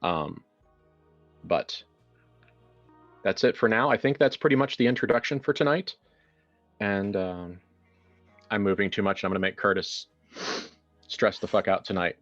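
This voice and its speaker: A man with a low voice talks as a game master, casual and wry, improvising as he goes. The sound is slightly dull and lo-fi, with music playing behind him. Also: normal speed, long pauses, fairly bright tone. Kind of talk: casual improvised introduction and horror game narration.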